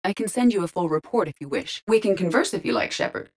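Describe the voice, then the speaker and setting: A courteous female artificial intelligence from a sci-fi video game. The voice sits low and stays composed. Each phrase is measured and exact, with formal wording and a dry, deadpan delivery. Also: husky. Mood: composed, matter-of-fact. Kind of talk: scripted video game dialogue.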